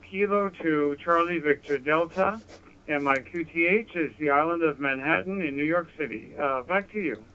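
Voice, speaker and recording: Smooth American man over narrow-band radio. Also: a little high, pushed and bright. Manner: polite, measured, deliberate. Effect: radio transmission. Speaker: amateur radio operator. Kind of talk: ham radio contact, conversational. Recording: narrow, phone-like handheld radio audio.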